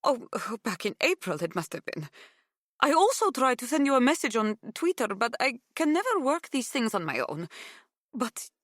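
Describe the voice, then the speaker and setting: An older Greek woman, as a female audiobook reader acts her out, talking in a lifted, higher voice. She is warm and effusive, chatty and full of gratitude, with a clear Greek accent and a dry, close sound. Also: big pitch swings, moderate pace, no room sound. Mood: excited, gushing. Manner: gushing, chatty, affectionate. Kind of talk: audiobook character dialogue.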